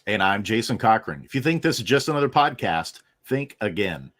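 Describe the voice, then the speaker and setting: A man's voice: on the low side, big pitch swings, brisk, fairly bright tone. A man co-hosting a podcast, reading a confident intro and then chatting casually. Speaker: podcast co-host.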